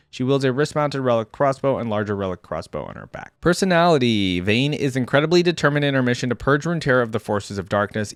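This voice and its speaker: A man's voice: medium pitch, no echo, clean studio sound. A man reading game lore aloud for a reaction video, casually breaking off to think aloud in conversational asides. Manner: conversational, thinks aloud between read passages.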